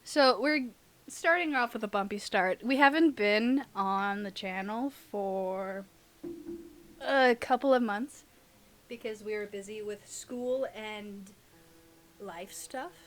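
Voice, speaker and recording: A woman's voice: mid-range voice, slow pace, a bit bright. A young adult woman co-hosting in a casual, giggly, teasing way, chatting and reading aloud. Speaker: podcast co-host and audio roleplay creator.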